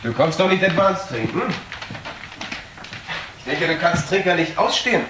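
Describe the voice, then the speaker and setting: A man playing a bitter, taunting nephew in a German crime radio play, reproachful and sardonic with pointed pauses. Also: a little high, expressive, natural voice. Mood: scornful. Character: bitter, taunting young nephew of an unloved aunt. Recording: roomy, clearly noisy old audio.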